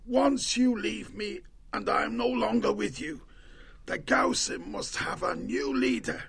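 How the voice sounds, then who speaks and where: A man voicing a wounded, commanding old shrew leader in a hoarse, gravelly, laboured voice for a storybook. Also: high voice, fairly even intonation, a bit bright, exaggerated voice. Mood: weary, solemn. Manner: slow, laboured, solemn.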